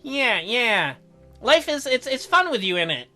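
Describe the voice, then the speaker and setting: Woman playing an awkward, animated, stammering boy. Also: fairly high pitch, measured pace, soft background music, voice pushed up, full character voice. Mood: flustered. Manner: stammering, flustered. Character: awkward, smitten everyday teenage boy. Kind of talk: visual novel dialogue read in a let's play.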